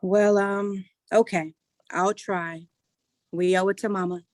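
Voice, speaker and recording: A woman acting a dutiful grown daughter in a stage drama reading. She is earnest and organizing, in a mid-pitched voice recorded dry. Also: fairly even intonation, slow pace, a little mellow, dry recording, close to an everyday voice. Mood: concerned, hesitant.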